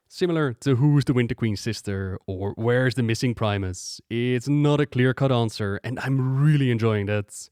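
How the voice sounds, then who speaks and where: Smooth-voiced man, dry close recording. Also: mid-range voice, darkish tone, no room sound. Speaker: video creator, narrator. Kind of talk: explanatory video game lore commentary.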